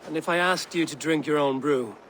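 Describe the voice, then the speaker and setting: A man voicing a serious, watchful Viking warrior in a video game, measured and quietly questioning. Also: a little high, lightly acted. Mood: probing. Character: steady, watchful Viking warrior, loyal and probing. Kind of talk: video game cutscene acting. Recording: long echoing reverb, faint ambient noise.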